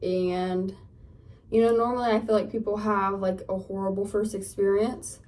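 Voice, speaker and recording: A woman's voice: mid-range voice, flat delivery, normal speed, darkish tone. Rambling young Californian woman vlogging. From Northern California. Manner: rambling, chatty, lots of fillers. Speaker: lifestyle vlogger. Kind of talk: casual get-ready-with-me chat. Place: home, getting ready. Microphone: phone camera. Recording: voice a bit off-mic, from a distance.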